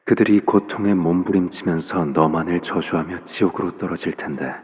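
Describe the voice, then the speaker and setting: A menacing yet tender assassin father for a video game. His voice sits extremely low and moves slowly. He speaks with quiet paternal gentleness, eerily calm throughout. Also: husky, big-room sound, muffled recording, full character voice. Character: soft-spoken, menacing assassin father, calmly doting on his daughter. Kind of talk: video game character voice lines.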